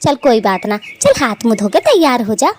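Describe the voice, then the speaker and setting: A woman's voice: on the high side, huge pitch swings, moderate pace, darkish tone, a little hiss or hum. A woman voicing a fond, scolding, matchmaking elder sister bird in a cartoon story, with a homely, bossy, nagging manner. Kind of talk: animated cartoon story dialogue.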